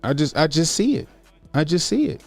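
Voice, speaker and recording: A man of forty talking casually on a stream, his voice gravelly and rough at the edges. He speaks in loose slang and keeps circling back to repeat his points. Faint music plays underneath. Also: fairly high pitch, very animated, a little fast. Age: 40s. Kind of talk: casual political commentary stream.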